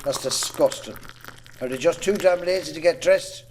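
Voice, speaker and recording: A bossy, disapproving farmer , acted by a man, with a rural Irish accent and a slightly high voice. He scolds his grown children in gruff drama dialogue, with faint noise behind him. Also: moderate pace, darkish tone, natural voice. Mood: indignant, scolding. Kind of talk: amateur radio-style stage drama acting.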